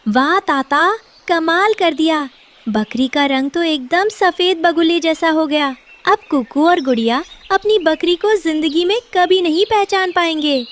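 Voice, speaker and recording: A very high, childish cartoon voice for a young boy crow performed by a woman. He is eager and whiny, quick to plead for what he wants. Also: slight background noise. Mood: gleeful.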